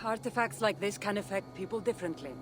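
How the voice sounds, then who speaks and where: An actress playing a guarded, mysterious wandering woman in a video game, speaking in measured, terse, secretive lines. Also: mid-range voice, background noise, close to an everyday voice. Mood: guarded, serious. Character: guarded mysterious female wanderer seeking a powerful artifact. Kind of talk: dramatic video game dialogue.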